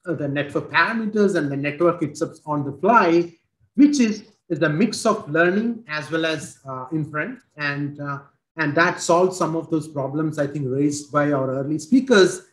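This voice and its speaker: A man in his forties with an Indian accent, presenting a technical product demo over a video call, halting with many fillers and restarts, speaking into a headset. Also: a little high, a bit bright. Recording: roomy video call audio.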